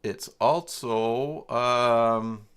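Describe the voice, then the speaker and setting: A man hosting a casual livestream tutorial, halting and muttering to himself as he thinks aloud and reads from his screen. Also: medium pitch, a bit bright, clean tone. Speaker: livestream host working through website email setup.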